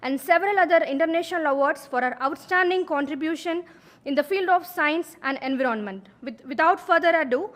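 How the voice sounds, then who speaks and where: A woman with a high, light voice opens the event by introducing the guest speaker. She reads the introduction in a formal, respectful way. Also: a little fast, a bit bright. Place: lecture hall.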